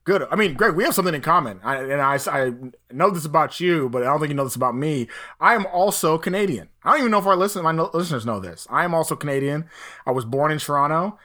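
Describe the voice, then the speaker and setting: A man's voice: a little high, expressive, fast talker, bright, forceful tone. A man from Texas hosting a sports podcast in an upbeat, chatty way. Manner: upbeat and chatty, laughing easily. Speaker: podcast host. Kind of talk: casual sports podcast chat.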